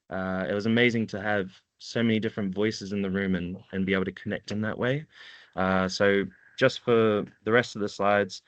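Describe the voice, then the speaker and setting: A man's voice: fairly low pitch, clean tone, no room sound. A man with a New Zealand accent giving an informal slide presentation, laid-back and slangy in his way of talking. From central North Island, New Zealand. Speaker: webinar presenter, environmental data worker.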